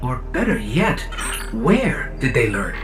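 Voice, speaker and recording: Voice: mid-range voice, big pitch swings, slow, music in the background. An actor playing a small, earnest, inquisitive robot companion in a video game. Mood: questioning, concerned. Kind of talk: video game cutscene acting.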